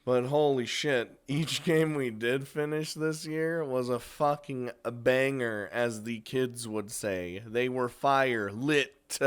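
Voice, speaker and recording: A man's voice: mid-range voice, slow, few pauses, clear, resonant tone. A man hosting a casual, unscripted countdown of games, chatty and crude, swearing freely. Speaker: video host and game reviewer.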